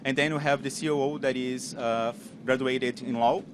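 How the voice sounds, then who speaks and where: Halting Brazilian man pitching over noise. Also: fairly even intonation. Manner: halting, searching for words in English. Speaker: startup CEO pitching. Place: on a moving tram. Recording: clear tram and street noise.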